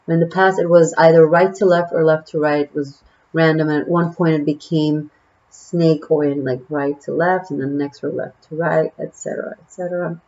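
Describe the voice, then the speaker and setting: A woman's voice: deep voice, steady tone, moderate pace, darkish tone, highs cut off. A woman from Israel with an Israeli accent, a native Hebrew speaker, giving a casual tarot reading to the camera, musing and chatty with many fillers. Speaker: tarot reader.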